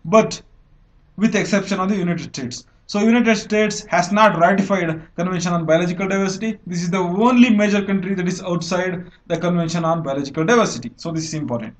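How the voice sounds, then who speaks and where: A man's voice: sits high, lo-fi sound. A young man with an Indian English accent, teaching an exam-prep lecture over slides, explaining step by step with frequent fillers and self-corrections. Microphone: voice-over recorded over screen annotation.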